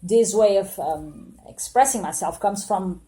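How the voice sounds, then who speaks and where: A woman in her late thirties, from Portugal, talks straight to the camera in a mellow, soft-edged voice at a middling pitch. She reflects earnestly, circling back on her words with frequent fillers and self-corrections. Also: unhurried. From Portugal, born in Angola. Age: 30s. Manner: hesitant, many fillers and restarts, earnest and reflective. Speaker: vlogger. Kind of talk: spontaneous monologue to camera.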